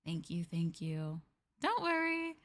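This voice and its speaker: Soft-spoken American woman, maid roleplay. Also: lowish voice, big pitch swings, takes it slowly. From United States. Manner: soft-spoken, calls listeners master, speaks of herself as the maid. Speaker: streamer. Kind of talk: ASMR maid roleplay stream chat. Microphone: close ASMR microphone.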